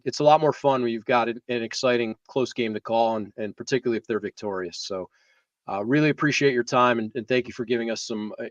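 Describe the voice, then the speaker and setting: A man's voice: mid-range voice, dry recording, dull audio. A man co-hosting a sports live stream in a casual, conversational way.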